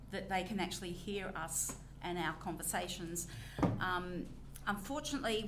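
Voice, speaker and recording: Woman, Australian accent, council chair, measured delivery, noisy chamber recording. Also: mid-range voice. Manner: measured, deliberate, with frequent ums. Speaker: council presiding member. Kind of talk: formal council meeting answers. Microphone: recording microphone.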